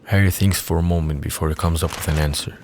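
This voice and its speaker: A man's voice: rumbling low, fairly even intonation, fast, noisy background. A man reading scripted third-person narration for an audio drama.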